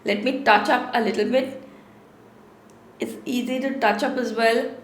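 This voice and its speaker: A woman's voice: a little high, fairly even intonation, measured pace, roomy sound, a little hiss or hum. A woman in her thirties with a sore throat, chatting casually to camera as she reviews products in a small home studio. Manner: chatty, repeats words for emphasis. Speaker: beauty vlogger.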